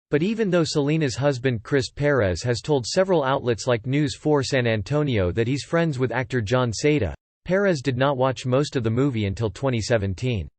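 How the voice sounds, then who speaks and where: A man delivers scripted celebrity news narration. His pitch is average for a man. The recording is dry, with hardly any room sound. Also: a little mellow, no room sound. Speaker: narrator of entertainment video.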